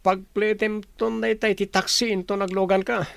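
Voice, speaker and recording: An actor playing a young man, a forceful rival in love, in a radio drama with a Filipino accent. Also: sits high, flat delivery, a little fast, vocal fry, smooth, dry recording. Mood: earnest. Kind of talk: Ilocano radio drama acting.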